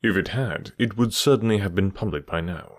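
A man reading an audiobook, voicing a calm, cool detective who speaks in a dry, unhurried way. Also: lowish voice, no echo, natural voice.

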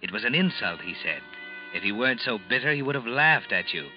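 A man playing a sardonic, knowing narrator of a 1940s radio thriller, speaking deliberately and ominously. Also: mid-range voice, big pitch swings, natural voice. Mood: ominous, taunting. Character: sardonic, omniscient male narrator addressing the guilty character. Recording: muffled old radio recording, loud music behind.

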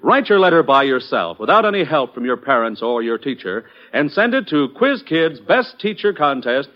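A man's voice: on the high side, big pitch swings, normal speed. An American man reading scripted sponsor announcements in the style of 1940s radio. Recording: dull vintage 1940s radio broadcast.

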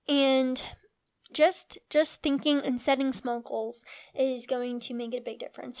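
Young woman w a high voice hosting a health podcast, earnest + encouraging, explains stuff. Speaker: podcast host. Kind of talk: educational health podcast monologue.

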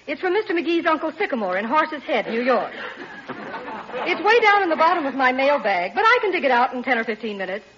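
A woman's voice: sits high, big pitch swings, a little fast, a crowd in the background. An actress playing a polite, obliging young female mail carrier in a 1940s radio comedy. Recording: muffled, very noisy old radio recording.

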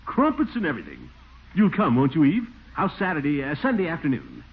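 An American actor playing a pompous, excitable middle-aged small-town bachelor in an old-time radio comedy, with a blustery, theatrical delivery and nervous chuckles. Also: male voice, a little high, a little fast, creaky, breathy edge, full character voice. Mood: enthusiastic, scheming. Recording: thin, narrow-band vintage radio recording with noise.

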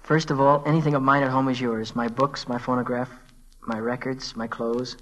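An actor playing a naive, earnest teenage messenger boy with an American accent and halting speech, in old-time radio drama style. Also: mid-range voice, little pitch movement, a bit bright, slight background noise, lightly acted, poor audio. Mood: excited, pleased.

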